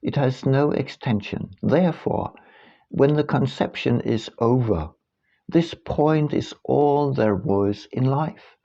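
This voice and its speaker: A man reading his own book aloud as scripted narration, in a deliberate, emphatic manner with dramatic pauses, on a dull, lo-fi recording. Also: mid-range voice, vocal fry, dark tone.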